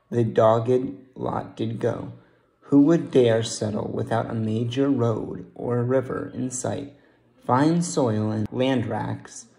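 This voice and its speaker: A man's voice: medium pitch, gravelly, mellow, rough recording. A young man reciting a scripted piece of verse. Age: young adult.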